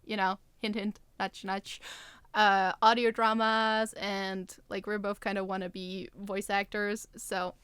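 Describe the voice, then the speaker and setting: Bright-voiced woman chatting jokily. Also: mid-range voice, little pitch movement, unhurried, clear, resonant tone, no echo. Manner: jokey, self-deprecating, rambling. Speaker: podcast co-host and writer. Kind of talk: casual chat.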